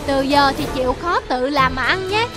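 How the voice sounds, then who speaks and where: A performer voicing a stern big bear who judges the forest in an animated fable, commanding and scolding. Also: sits high, moderate pace, music in the background.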